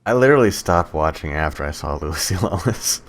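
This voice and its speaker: A man with a low-pitched voice co-hosts a podcast. He talks casually and loosely about films, with plenty of swearing and slang. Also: lively intonation, brisk, a little hiss or hum. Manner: profane, slangy. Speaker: podcast co-host.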